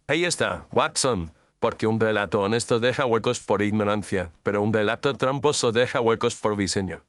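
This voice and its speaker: A man playing a calm, incisive detective in an audio drama, speaking in a measured, analytical way. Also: on the low side, no room sound, natural voice. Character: calm, incisive male detective, precise reasoner.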